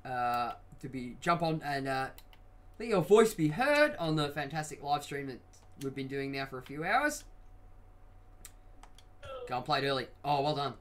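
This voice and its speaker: A young man with a headset, streaming a football video game and chatting loosely with friends, swearing and shouting at the play. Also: medium pitch, normal speed, pauses often. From Australia. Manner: excitable, swears, loud outbursts at the game. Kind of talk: live stream commentary and chat.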